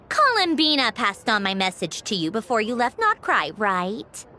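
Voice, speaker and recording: A sharp, dismissive game engineer boss; brisk and wry, pitch moves a lot. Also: female voice, slight background noise, exaggerated voice. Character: sharp-tongued female Fatui harbinger engineer, bossy. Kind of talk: game voice acting. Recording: game dialogue over soft music.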